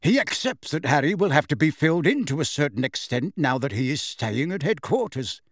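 A man playing a mild, reasonable husband and father in a storybook, speaking carefully and deliberately. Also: fairly high pitch, big pitch swings, no echo, studio quality. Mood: calm, careful.

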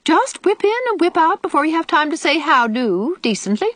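A woman voicing a sour, sarcastic old country aunt with a sharp tongue, in an audiobook. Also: high voice, sing-song, moderate pace, dry recording, voice pushed up. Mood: needling. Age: older. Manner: disagreeable, sarcastic, nagging. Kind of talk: audiobook character voice.

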